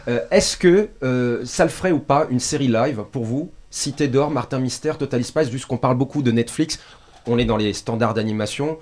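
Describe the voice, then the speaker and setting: Casual male interviewer, medium pitch. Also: normal speed, a little mellow, a little hiss or hum. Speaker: interviewer on a talk show.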